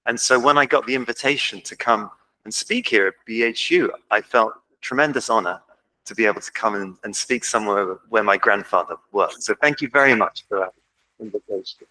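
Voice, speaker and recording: A man's voice: lowish voice, a little fast, pushed and bright, poor audio. A man with an English accent, giving an informal academic lecture at a conference, self-deprecating and full of ums. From England. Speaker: conference speaker. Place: lecture hall.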